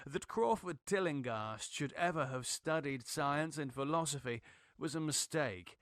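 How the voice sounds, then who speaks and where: A man's voice: mid-range voice, fairly bright tone, no echo. Grave male horror narrator, close and dry. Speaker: narrator, audiobook reader. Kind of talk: dramatic scripted gothic horror narration.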